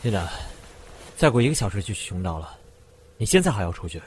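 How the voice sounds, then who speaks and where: A man's voice: lowish voice, very animated, fast. A man playing a brooding, suspicious drug-smuggler boss in an amateur audio drama, speaking with restrained weight on a hissy home recording. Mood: teasing, casual.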